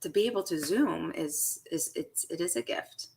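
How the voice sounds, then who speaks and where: A woman's voice: sits low, moderate pace, creaky. A woman with a Chicago accent, a chef and coach, chatting enthusiastically as a guest on a radio show. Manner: enthusiastic, animated. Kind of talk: casual interview chat.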